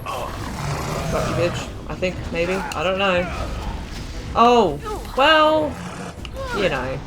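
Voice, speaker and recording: A woman gaming YouTuber giving casual, chatty commentary as she plays through a role-playing game, reacting out loud. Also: on the low side, big pitch swings, very slow, over music. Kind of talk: casual let's-play commentary.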